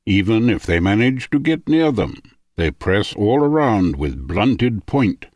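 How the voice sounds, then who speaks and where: A man reading a philosophical audiobook in a formal, measured, rhetorical way. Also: lowish voice, very animated, a little mellow, no room sound. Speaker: audiobook narrator. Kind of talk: scripted audiobook narration of philosophy.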